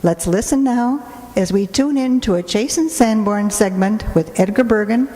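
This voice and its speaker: A woman's voice: medium pitch, normal speed, mellow. A woman presenter reading a scripted introduction to a radio play. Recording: echoey hall, long reverb.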